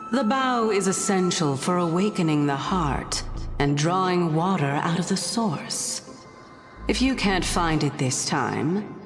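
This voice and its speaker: An actress playing a composed, calculating woman in a video game, speaking in a measured, formal, coolly condescending way. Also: on the low side, big-room sound. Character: composed, calculating, teasing woman with hidden motives.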